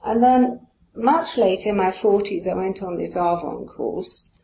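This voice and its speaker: A woman's voice: medium pitch, lively intonation, unhurried, gravelly, mellow, slight background noise, poor audio. Musing woman poet, hesitant. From Iran. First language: Farsi. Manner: musing and hesitant, many "you know" fillers, light laughs. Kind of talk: reflective interview answers.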